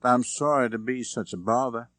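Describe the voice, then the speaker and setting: A man voicing a polite, doubtful young woman, pitched up. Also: moderate pace, fairly bright tone, no echo, studio quality, natural voice. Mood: doubtful, resigned.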